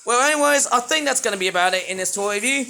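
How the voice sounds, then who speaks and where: A man with a high voice rambles through a toy review, chatty and playful. His voice sounds a little under the weather, and he breaks into sudden excited outbursts. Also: quick pace, vocal fry. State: voice not fully well. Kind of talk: casual toy review vlog.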